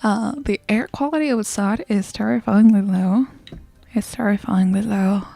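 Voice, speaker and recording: A woman livestreamer chatting casually in a soft, sleepy ASMR style that often slips toward whispering, close to the microphone. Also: mid-range voice, normal speed, vocal fry, no room sound. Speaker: livestreamer greeting chat and hosting a game stream.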